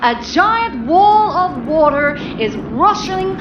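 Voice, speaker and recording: A woman playing an excitable TV news reporter in a parody broadcast, dramatic and breathlessly urgent, echoey and muffled. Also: very high-pitched, slow, music in the background, heavily acted. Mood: alarmed.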